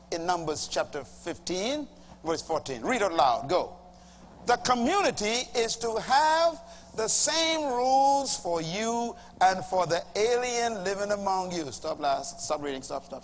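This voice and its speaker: A middle-aged Bahamian man preaching a sermon to a congregation, emphatic, swinging from loud bursts to low asides. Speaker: pastor. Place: large church auditorium with a congregation. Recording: reverberant hall, faint hum.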